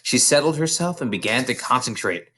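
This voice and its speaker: A man reads a children's story aloud as a storyteller. His pitch is very lively and moves widely, giving the narration an expressive, dramatic shape. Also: a little fast, husky. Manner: dramatic, animated storyteller delivery. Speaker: storybook reader.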